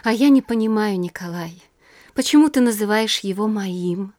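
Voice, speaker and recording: A woman acts out a refined married noblewoman in an audio drama. Her voice is of middling pitch. She speaks in a genteel, sensitive and earnest way. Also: moderate pace, darkish tone, lightly acted. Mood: protesting, anxious. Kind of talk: Russian audio drama acting.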